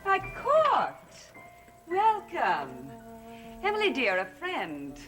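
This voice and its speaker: An actress playing a commanding female coven leader who speaks slowly and deliberately in a 1960s soap opera. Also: high-pitched, huge pitch swings, full character voice. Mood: coaxing. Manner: slow, deliberate, solemn. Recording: old TV soundtrack with music.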